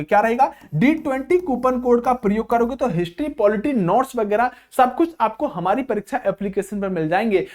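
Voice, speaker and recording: A man speaking as an exam coach, addressing students informally and repeating phrases for emphasis. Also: very high voice. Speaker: exam coaching teacher and channel host.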